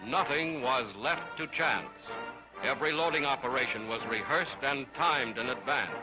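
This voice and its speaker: A man's voice: mid-range voice, moderate pace, poor audio. A man narrating a 1940s newsreel in a dramatic, solemn, declamatory manner, on an archival soundtrack. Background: loud orchestral music.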